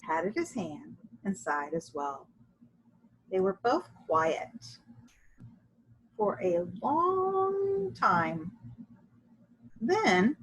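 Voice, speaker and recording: A woman reading a children's story aloud in an animated, expressive way with drawn-out emphasis. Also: mid-range voice, breathy edge, poor audio. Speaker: storybook reader.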